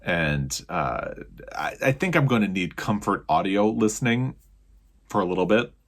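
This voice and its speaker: A man's voice: sits low, lively intonation, moderate pace, a bit bright. A man giving a casual, chatty book review as a video host, leaning on key words and adding self-qualifying asides.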